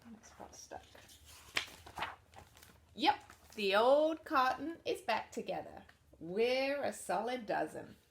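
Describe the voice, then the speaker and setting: A woman reading a picture book aloud to children in an expressive read-aloud manner, dropping to soft, chatty asides about the pictures. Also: on the high side, takes it slowly, creaky, pauses often, a little hiss or hum. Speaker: storybook reader.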